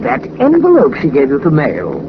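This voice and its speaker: An actor playing a polite, easily startled sidekick to a detective in a 1940s radio drama, full of deferential questions. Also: male voice, on the high side, huge pitch swings, normal speed, vocal fry, full character voice. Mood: puzzled, surprised. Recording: muffled, noisy 1940s radio recording.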